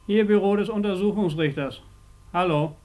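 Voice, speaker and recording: An actor playing a bored, dismissive office clerk with dry, mocking delivery in a 1950s German radio drama. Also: male voice, high voice, moderate pace, darkish tone, lightly acted. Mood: officious.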